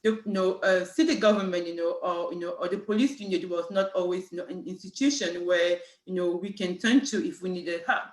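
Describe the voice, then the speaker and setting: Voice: medium pitch, fairly even intonation, fairly quick, few pauses. A speaker from Cameroon with a French accent, a city human rights official giving an informational panel talk and leaning heavily on "you know" fillers and "right?" tags. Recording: echoey room.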